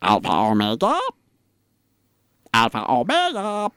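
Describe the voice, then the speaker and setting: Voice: medium pitch, wildly swooping pitch, slow, a bit bright, clean tone, no echo, clean studio sound, full character voice. A performer voicing a simple, hungry superhero pet in a comic radio sketch.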